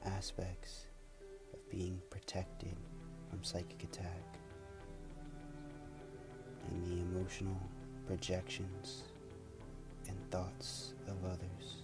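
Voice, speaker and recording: Male meditation guide, low and level delivery, soothing, unhurried, spacious pauses. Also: completely flat delivery. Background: music.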